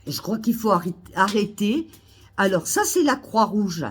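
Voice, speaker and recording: A woman with a head cold delivers an indignant monologue straight to her followers. She circles back over her points, stumbles into restarts, and her voice climbs into loud, exasperated outbursts. The pitch sits in a middle register. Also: sing-song, unhurried, slight background noise. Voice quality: hesitant restarts and fillers. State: has a cold, coughing. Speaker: vlogger. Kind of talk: ranting monologue to camera. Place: Almeria, Spain.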